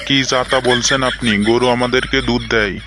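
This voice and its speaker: Man voicing a stern, even-toned cartoon interviewer. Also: mid-range voice, a little fast, background noise, close to an everyday voice. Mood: stern, exasperated. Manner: scolding, formal questioning. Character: stern, exasperated male job interviewer. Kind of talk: comic cartoon dialogue.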